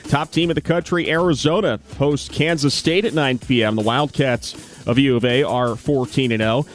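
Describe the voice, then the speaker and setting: A man's voice: mid-range voice, moderate pace, soft background music. A man reading a sports update as studio host of a radio broadcast.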